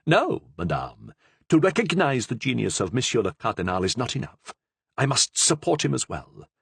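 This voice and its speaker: A man's voice: mid-range voice, wildly swooping pitch, moderate pace, gravelly, slightly rough, no room sound, pitched above the performer's own voice, exaggerated voice. A man voicing a proud young king in an audiobook, speaking curtly and with authority. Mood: dismissive. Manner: curt, authoritative. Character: stern, proud young king, curt and commanding.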